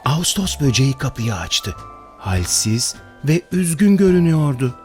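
Expressive male fairy tale narrator. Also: mid-range voice, moderate pace. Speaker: storyteller, narrator. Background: music.

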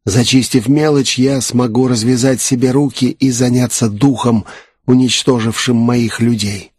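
A man's voice: medium pitch, steady tone, a little slow, darkish tone, breathy edge, dry recording, clean studio sound. A man voicing an assured, composed naga necromancer who explains his schemes in an audiobook.

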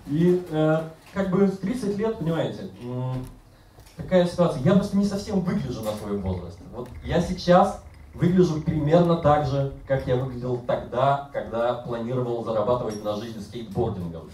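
A man in his thirties doing deadpan stand-up, mocking himself in an even, mid-range voice. His handheld mic is picked up from a distance, so the sound is roomy, with a faint hum of the bar behind him. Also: medium pitch, moderate pace. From Siberia. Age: 30s. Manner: deadpan, self-mocking, acts out other voices. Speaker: stand-up comedian. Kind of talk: stand-up routine. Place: bar stage with audience. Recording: roomy live bar recording from afar.

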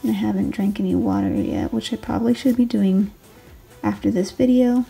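A woman in her twenties with a raspy late-night voice, rambling casually through her daily planner and correcting herself as she goes. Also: medium pitch, wildly swooping pitch, a little fast, soft background music, rough recording. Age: 20s. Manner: rambling, self-correcting, soft. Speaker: planner vlogger, stay-at-home mom. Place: home desk, filmed late at night. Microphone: overhead camera on the desk.

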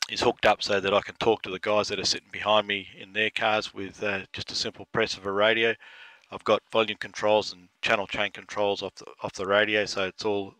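Flat, bright Australian man on headset. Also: fairly low pitch, rough recording. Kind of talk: casual demonstration. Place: inside a truck cab. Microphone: aviation headset boom microphone.

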